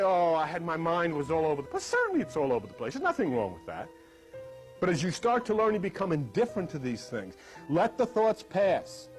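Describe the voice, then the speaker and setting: A man with a fairly high voice gives a spiritual lesson in an emphatic, conversational way. He explains like a teacher, with faint music running under his words. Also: poor audio. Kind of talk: talk on meditation and scripture. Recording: music laid under the speech.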